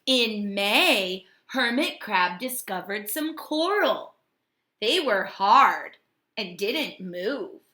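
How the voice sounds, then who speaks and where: A woman teacher giving a read-aloud lesson to young children, speaking in a deliberate, instructive way. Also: medium pitch, lively intonation, pushed and bright. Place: home kitchen. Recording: echoey domestic room.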